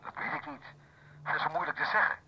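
A man playing a careful, obliging hotel receptionist in a radio drama, creaky and husky, speaking formally through a telephone filter. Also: a little hiss or hum. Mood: careful, hesitant. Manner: precise, measured, formal. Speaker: actor in a detective radio play. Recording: dull, muffled telephone line.

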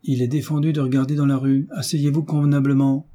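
A man's voice: on the high side, big pitch swings, brisk, dark tone, breathy edge, pitched above the performer's own voice. A man voicing a prim, sour school supervisor, an unmarried woman with a pinched, curt, officious way of speaking, in a storybook reading.

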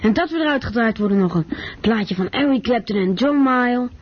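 A woman's voice: on the high side, expressive, brisk, slightly rough. A woman presenting on radio, making an informal programme announcement. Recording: muffled radio broadcast.

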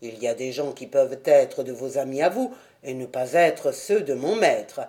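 Woman playing a burly old-school porter, gruff, low, firm, blunt, storybook character. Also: expressive, unhurried, mellow. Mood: firm refusal. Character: burly gruff male porter, ex-boxer, stubborn and loyal.

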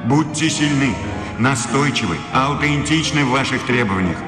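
An actor plays an authoritarian university rector in a radio drama. He declaims sternly and formally in a mid-pitched male voice, with long hall reverb and music clearly underneath. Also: animated, moderate pace, close to an everyday voice. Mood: fervent, solemn. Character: stern, authoritarian German philosophy professor and rector. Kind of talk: radio play acting.

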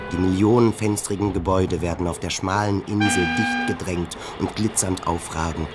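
A man's voice: sits low, fairly even intonation, normal speed, over loud music. A man giving a scripted literary narration for a radio play.

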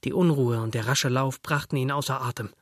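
A man narrates literary prose in a mid-pitched, husky voice. The sound is dry, with no room around it, and the delivery is that of a scripted audiobook story. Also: a little fast, dry recording.

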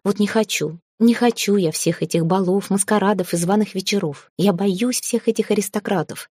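A woman's voice: medium pitch, normal speed, darkish tone, breathy edge, dry recording, clean studio sound, close to an everyday voice. A woman voicing a stubborn, plain-spoken young heroine newly made an aristocrat, in a fantasy storybook. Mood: anxious.

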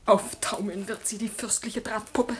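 A woman's voice: medium pitch, steady tone, moderate pace, noisy background, close to an everyday voice. An actress playing a proud, jealous noblewoman in a classical radio drama, speaking in a theatrical, imperious manner. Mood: agitated, resolute. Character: proud, jealous noblewoman, a passionate prince's mistress.

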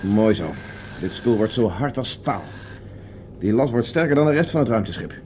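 An actor playing Tony, a resourceful man escaping Mars, who speaks calmly and decisively and gives short orders. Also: mid-range voice, moderate pace, slight background noise, close to an everyday voice. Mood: steady. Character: Tony, resourceful male space fugitive. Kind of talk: Dutch radio play acting.

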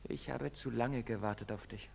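A man's voice: mid-range voice, normal speed, gravelly, darkish tone, a little hiss or hum. An actor playing a bitter, hunted young man in a German stage drama, brooding and breaking into anguish. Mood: bitter, resigned. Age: young adult. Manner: brooding, swinging into anguished outbursts. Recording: reverberant theatre hall recording.

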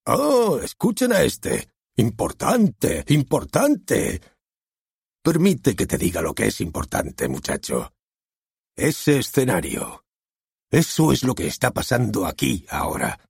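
Male narrator playing a hot-tempered stage director: gravelly low voice that leaps into shrill shouts, theatrical, foul-mouthed, audiobook. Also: huge pitch swings, measured pace, no room sound, clean studio sound, heavily acted. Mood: scornful. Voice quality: gravelly, husky, swinging to high shouts. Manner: bombastic, swearing, theatrical. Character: explosive, self-dramatizing male theater director.

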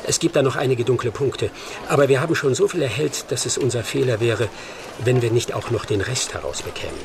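A man's voice: medium pitch, vocal fry, close to an everyday voice. Man playing a shrewd, commanding detective, noisy background. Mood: pleased, confident. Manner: brisk, probing, articulate. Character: brilliant male detective, commanding, shrewd, quietly amused. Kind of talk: radio drama acting.

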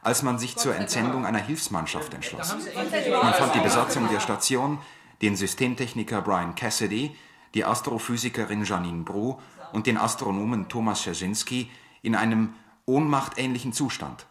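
An actor playing a sober, grave radio news commentator, reading formal scripted commentary in a measured way in a radio play. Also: male voice, lowish voice, a bit bright, lightly acted. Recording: echoey playback in a crowded room.

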